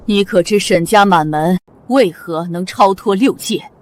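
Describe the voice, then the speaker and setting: A woman's voice: mid-range voice, measured pace, noisy background, close to an everyday voice. A woman voicing an imperious queen mother of the gods in a costume drama, commanding and scornful. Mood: furious. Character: imperious divine queen mother, haughty and wrathful. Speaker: divine empress mother.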